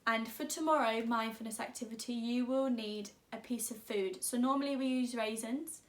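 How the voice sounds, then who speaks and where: A woman teacher reading a children's story aloud, expressively and deliberately, drawing out words. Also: fairly high pitch, roomy sound.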